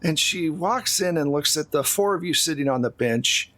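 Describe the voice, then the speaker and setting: A man narrating a tabletop role-playing game as game master, telling the story in a measured way with deliberate pauses. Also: fairly high pitch, animated, fairly bright tone, no echo.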